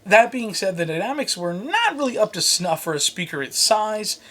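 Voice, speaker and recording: A man with a fairly high-pitched voice hosts a product review. He moves between prepared script and off-the-cuff banter, in a conversational, jokey way. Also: very animated, normal speed, a bit bright. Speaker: host and reviewer.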